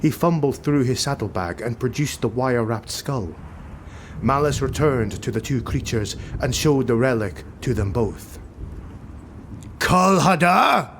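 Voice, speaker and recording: A man's voice: medium pitch, moderate pace, a little hiss or hum. A man narrating a fantasy audiobook in a scripted, dramatic style.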